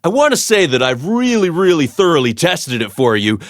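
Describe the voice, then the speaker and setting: A man's voice: on the high side, very animated, normal speed, no room sound, clean studio sound. A man voicing an eager, rambling superfan villager in a cartoon video game, gushing and a little nervous. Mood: nervous excitement.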